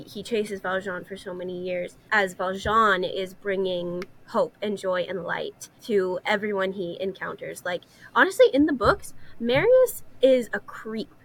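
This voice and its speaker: Bright-voiced woman discussing stories. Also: medium pitch, low-quality recording. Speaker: podcast co-host. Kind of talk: casual discussion, explaining a story.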